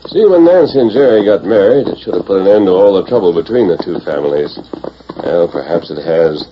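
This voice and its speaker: An actor playing a steadfast, earnest cowboy hero with a commanding manner in a 1940s radio western. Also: male voice, low voice, expressive, brisk. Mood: thoughtful. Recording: noisy, muffled 1940s radio recording.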